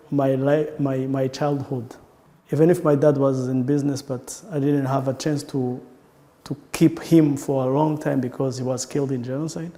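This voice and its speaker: A man with a Rwandan accent recalling his family history in an interview, speaking haltingly and often restarting his sentences. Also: mid-range voice, mellow, big-room sound. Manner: halting, searching for words with frequent restarts. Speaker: entrepreneur. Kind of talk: personal recollection in an interview.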